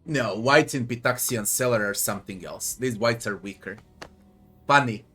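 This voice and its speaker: A man's voice: medium pitch, normal speed, a bit bright. A man from Serbia with a Serbian accent gives casual game commentary, grumbling, swearing and muttering to himself. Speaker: gamer doing a playthrough. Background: faint game music.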